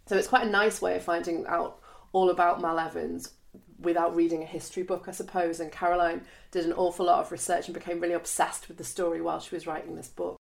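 Woman host, gravelly, lowish voice, relaxed, conversational. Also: quick pace. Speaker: radio show host. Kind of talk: interview hosting.

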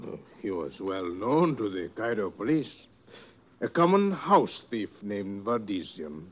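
A formal police lieutenant speaks with a low voice that swings widely in pitch. He hesitates politely before each statement. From a 1950s radio drama. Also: sing-song, a little slow, noisy background. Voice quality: formal, hesitant, foreign-sounding. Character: formal middle-aged male Cairo police lieutenant.

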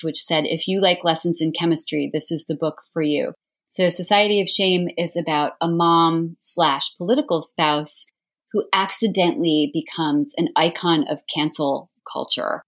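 A woman's voice: lowish voice, fairly bright tone. A woman chatting casually as an interview guest, recommending books in an effusive, emphatic way.

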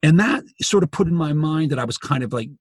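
A man with a gravelly, raspy voice, a journalist chatting as a guest and telling stories with frequent restarts. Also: on the high side, animated, fast, no room sound, clean studio sound. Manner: chatty storyteller, restarts sentences. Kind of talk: casual podcast conversation.

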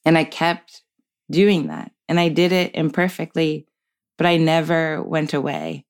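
A woman in her twenties, a podcast guest, speaking thoughtfully and in a measured way, with soft laughs between her points. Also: deep voice, fairly even intonation, smooth. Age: 20s. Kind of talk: reflective conversational interview.

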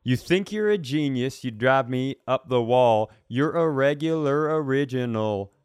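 A man chats casually as a comedy podcast co-host. His voice is smooth and clear at a middle pitch, recorded dry and close. He rambles through explanations in an animated, mock-serious way. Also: unhurried, dry recording.

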